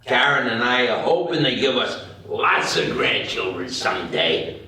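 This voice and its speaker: A man playing an upbeat city councilman and proud father at a table read, speaking in a measured, public way. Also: mid-range voice, big pitch swings, bright, forceful tone, slight background noise. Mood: happy, proud. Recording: very echoey room, distant mic.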